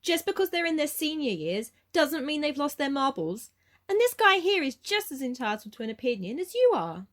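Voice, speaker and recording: Woman acting fair-minded, practical, lively-voiced woman. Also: sits high, moderate pace. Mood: protective. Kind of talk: amateur radio play acting.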